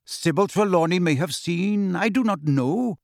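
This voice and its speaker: A man voicing a calm, aloof male centaur teacher in an audiobook, speaking in a measured, solemn, formal way. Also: high voice, gravelly, dry recording, clean studio sound, voice pushed up, exaggerated voice. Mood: grave, prophetic. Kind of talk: acted audiobook dialogue.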